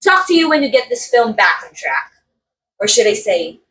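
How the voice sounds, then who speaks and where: A woman acts out a haughty, catty actress in an ASMR roleplay drama. Her voice sits fairly high and swings dramatically in pitch, drawling key words with sardonic condescension and a playful edge of menace. A faint noise sits behind the recording. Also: sing-song, moderate pace, fairly bright tone. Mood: irritated, dismissive. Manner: theatrical, sardonic, drawling some words for emphasis. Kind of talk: ASMR roleplay monologue, voice acting.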